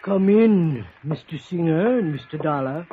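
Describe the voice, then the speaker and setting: A man's voice: a little high, expressive, measured pace, over music. An actor playing a solemn, gray-haired old doorman at a seance house, formal and ceremonious, in 1950s radio style. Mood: solemn, eerie. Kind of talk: 1950s radio drama acting. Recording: tinny, noisy vintage radio recording.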